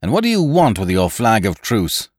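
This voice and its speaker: A man's voice: mid-range voice, wildly swooping pitch, takes it slowly, no room sound, clean studio sound, pitched above the performer's own voice. A man voicing a stern, curt ship's captain in an audiobook adventure, clipped, commanding and scornful.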